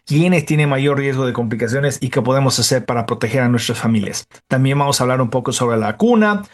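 A man's voice: medium pitch, lively intonation, fast. A man hosting a health interview program, introducing topics and welcoming his guest in a chatty way. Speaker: health show host.

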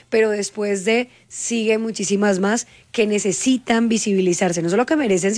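A Colombian woman from Cali hosting a radio show, talking in a chatty, teasing way. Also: mid-range voice. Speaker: radio host. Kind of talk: casual radio chat.

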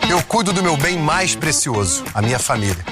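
A man's voice: on the high side, big pitch swings, moderate pace, loud music behind the voice. A Brazilian man reading a scripted first-person radio commercial. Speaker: advertisement voice.

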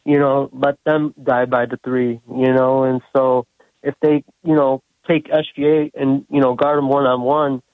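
A man's voice: medium pitch, dry recording. A man calling a sports radio show by phone, casually breaking things down with hesitant, filler-heavy phrasing. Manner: hesitant, says "you know" constantly. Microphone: phone call. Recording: tinny, narrow phone line.

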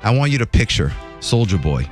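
A man hosting a morning radio show, telling entertainment news in a jokey, animated way. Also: a little fast, faint music behind. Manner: animated, jokey, voice jumps high for emphasis.

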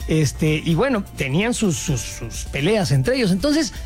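A man's voice: high voice, very animated, measured pace, faint music behind. A man co-hosting a radio show, joking and irreverent, cursing casually. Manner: joking, irreverent, swears freely. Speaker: radio host. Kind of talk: casual comedic radio banter.

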